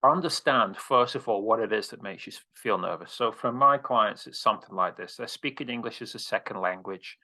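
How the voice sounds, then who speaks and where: A man's voice: lowish voice, a little fast, few pauses, bright, forceful tone. A man coaching on presentations, answering interview questions in a direct, casual way with casual swearing.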